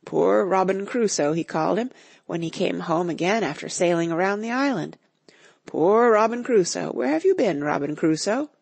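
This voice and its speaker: A woman voicing an old male miser in a storybook reading, excited and caught between laughing and crying. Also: mid-range voice, a little slow, gravelly, slightly rough, no room sound, exaggerated voice. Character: old male miser, overcome with nostalgic joy.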